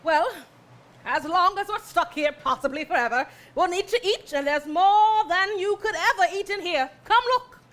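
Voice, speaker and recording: A woman's voice: very high-pitched, lively intonation, normal speed, fairly bright tone. A woman acting a wry, earnest science-fiction heroine in a parody stage musical. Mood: wry, explanatory. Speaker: musical theatre performer in a staged reading.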